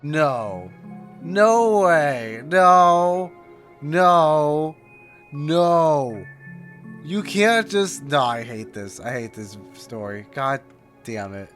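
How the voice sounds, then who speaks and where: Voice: mid-range voice, animated, drawn-out words, a bit bright, soft background music. A streamer reacting casually to a game, in an emotional, exclamatory way.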